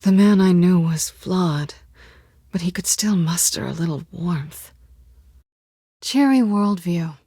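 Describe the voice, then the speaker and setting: An American woman playing a sly, guarded cat-burglar in a video game, teasing and coolly wry, with a husky, breathy voice. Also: fairly low pitch, takes it slowly, long pauses, warm tone, no room sound, clean studio sound, heavily acted. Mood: guarded, serious.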